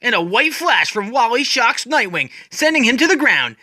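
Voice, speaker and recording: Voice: mid-range voice, big pitch swings, moderate pace, pushed and bright, dry recording. A person giving a dramatic, scripted narration as a storyteller, retelling comic book scenes in the present tense.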